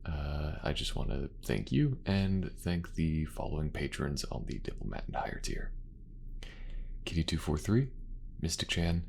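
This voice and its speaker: A man's voice: a bass voice, creaky, darkish tone, husky. A man who is a voice actor and channel host, speaking casually in an outro to his listeners.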